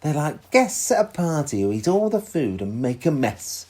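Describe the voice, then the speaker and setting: A man playing a patient, reassuring father who explains things in a children's storybook, with a husky voice. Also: fairly high pitch, big pitch swings, normal speed, a little mellow. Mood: patient, explaining. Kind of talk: read-aloud children's storybook dialogue.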